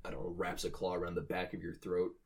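A man's voice: sits low, monotone, quick pace, very noisy. A man co-hosting a podcast in casual, joking chat with rambling asides. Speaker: podcast co-host.

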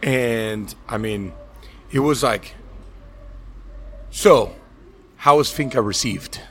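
An American man hosting a casual podcast chat in an animated, joking, freely cursing way. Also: mid-range voice, takes it slowly, breathy edge. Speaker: podcast host.

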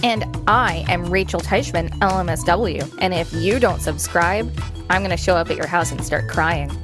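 A woman's voice: fairly low pitch, moderate pace, music in the background. A woman co-hosting a podcast with casual commentary and reading aloud. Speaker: podcast co-host.

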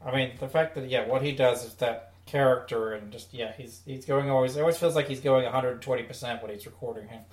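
A man's voice: medium pitch, fairly even intonation, fast, few pauses. A man co-hosting a podcast, chatting casually and giving his recommendations. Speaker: podcast co-host. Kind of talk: casual chat reviewing audio dramas. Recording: roomy, echoey indoor sound.

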